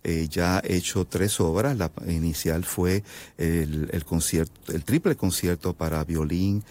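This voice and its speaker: A Puerto Rican man with a very low voice explains his music as an interview guest. He talks in long, halting stretches, full of fillers and restarts. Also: steady tone, a little mellow. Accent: Puerto Rican Spanish. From Puerto Rico. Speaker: composer guest. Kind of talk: interview answers.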